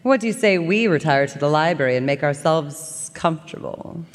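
A man playing a suave, flirtatious talking pet of high society, with theatrical manners, in a live radio drama. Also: expressive, normal speed, natural voice. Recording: live in echoey concert hall.

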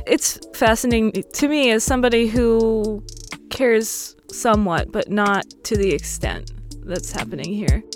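A woman in her thirties talking casually and reflectively in an interview, often saying "like" and "you know". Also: medium pitch. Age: 30s. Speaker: interview guest.

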